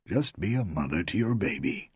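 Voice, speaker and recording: A man voicing a smooth, persuasive coven leader who proclaims and coaxes in a low, husky voice. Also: very animated, normal speed, no echo, clean studio sound, heavily acted. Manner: commanding, coaxing.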